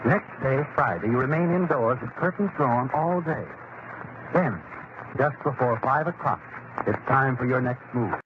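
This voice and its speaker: A man acting as an all-knowing suspense narrator in an old-time radio drama, insinuating and deliberate as he needles a guilty woman. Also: mid-range voice, animated, lightly acted. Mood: ominous. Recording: noisy, muffled vintage radio broadcast recording.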